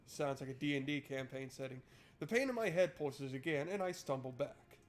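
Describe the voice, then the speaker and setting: A man's voice: mid-range voice, animated, brisk. A man streaming a game, switching between reading narration aloud and casual, irreverent, sweary joking commentary.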